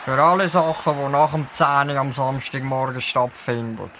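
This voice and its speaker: A man plays a sullen detective sidekick speaking Swiss German at a medium pitch. He sounds bored and unimpressed in a comic audio-play manner, with slight background noise. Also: fairly even intonation, moderate pace, bright, forceful tone. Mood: grumpy, bored. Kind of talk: comic audio drama acting.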